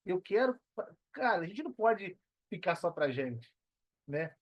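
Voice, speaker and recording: A man's voice: high-pitched, big pitch swings, measured pace, no echo, muffled recording. A Brazilian man speaking as a podcast guest in casual, slangy conversation, earnest and emphatic, repeating phrases for emphasis. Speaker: podcast guest, digital entrepreneur. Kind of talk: casual conversational interview talk.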